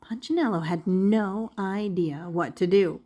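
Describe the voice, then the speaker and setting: An older woman reading a children's picture book aloud expressively, deliberately drawing out key words as a storyteller. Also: medium pitch, warm tone.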